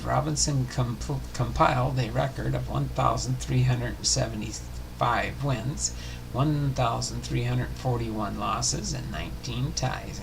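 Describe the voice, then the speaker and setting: A man reading a scripted sports history narration aloud, haltingly, often stumbling and correcting himself. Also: mid-range voice, gravelly, noisy background. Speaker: host of a sports history show. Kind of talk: scripted narration read aloud, baseball biography.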